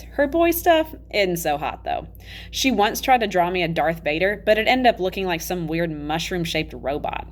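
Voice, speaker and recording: A matter-of-fact ten-year-old boy tells his own storybook tale , read by a woman with a slightly low voice. The delivery is candid and confiding, like plain conversation. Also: natural voice. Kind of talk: children's novel read-aloud.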